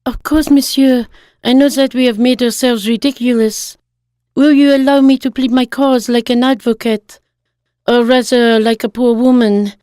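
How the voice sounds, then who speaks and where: A dignified wife in her fifties, acted by a woman in a radio play. She speaks in a somewhat high voice, candid and earnest without embarrassment, recorded with very little room sound. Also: fairly even intonation, moderate pace, warm tone, clean tone, dry recording, natural voice. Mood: earnest pleading. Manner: earnest, composed pleading. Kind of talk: dramatised short story monologue.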